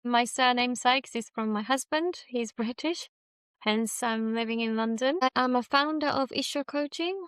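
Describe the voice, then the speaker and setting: A woman's voice: fairly high pitch, a little slow, fairly bright tone, smooth, dry recording, studio quality. A woman from Japan who speaks English as a second language, a leadership coach chatting casually and laughing easily. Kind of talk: casual conversation.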